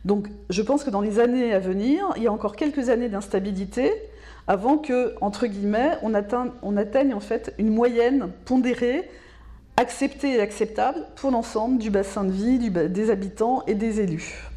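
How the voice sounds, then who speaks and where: A woman giving explanatory interview answers as a specialist, in a measured, didactic way, repeating words for emphasis. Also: on the high side, dark tone. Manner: measured, didactic, emphatic repetition, checks understanding with tags. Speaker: local government specialist being interviewed.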